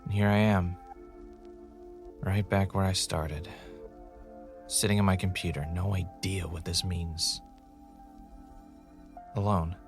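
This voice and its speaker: Man acting as a brooding, low-voiced podcaster. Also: fairly even intonation, takes it slowly, long pauses, soft background music. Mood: brooding, confessional. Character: brooding, paranoid male podcaster searching for answers. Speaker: podcast host character and narrator. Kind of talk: audio drama monologue and dialogue.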